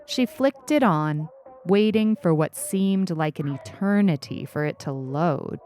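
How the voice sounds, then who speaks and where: Slow-paced woman narrating a story. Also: fairly low pitch, lively intonation, a little mellow. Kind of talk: scripted fiction narration. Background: faint music.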